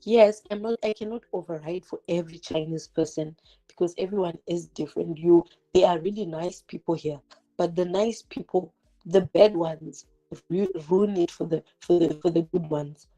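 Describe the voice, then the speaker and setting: A woman's voice: on the low side, moderate pace, warm tone, low-quality recording. A woman in her twenties from Zimbabwe, for whom English is not her first language, chatting directly to viewers on a livestream from her kitchen while cooking. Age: 20s. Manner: chatty, direct, rhetorical questions, many "like" fillers; multitasking, cooking while talking. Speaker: YouTuber hosting a livestream. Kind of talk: casual livestream chat. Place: home kitchen. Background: fireworks outside.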